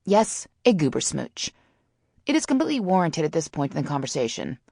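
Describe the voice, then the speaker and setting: A woman's voice: sits low, big pitch swings, brisk, vocal fry, dark tone, breathy edge, dry recording, studio quality, heavily acted. A woman voicing a brash, sarcastic, mischievous teenage girl in an audiobook, wordy and teasing. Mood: mock indignant. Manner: wordy, teasing, mock-haughty.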